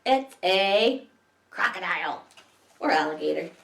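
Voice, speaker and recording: A woman's voice: fairly low pitch, animated, a little slow, gravelly, a bit bright. A woman reading picture books aloud to young children as a library story time host, expressively, with questions to her listeners. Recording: echoey room, distant microphone.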